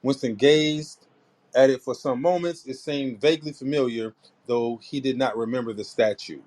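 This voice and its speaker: A man's voice: mid-range voice, a little mellow. A man hosting a podcast in casual chat, rambling and joking, sometimes reading a book passage aloud. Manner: reads passages aloud haltingly. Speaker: podcast host.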